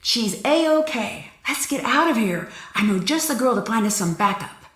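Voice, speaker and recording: A woman's voice: fairly high pitch, animated, normal speed, fairly bright tone, slightly rough, no echo, clean studio sound. A woman playing a confident, polite young detective in a video game, composed, friendly and articulate. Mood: reassuring, determined.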